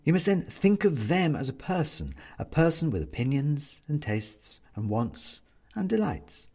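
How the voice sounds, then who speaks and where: A man narrating a literary story as an audiobook reader, measured and wry, with theatrical, deliberate phrasing. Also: fairly high pitch, very animated, darkish tone, husky.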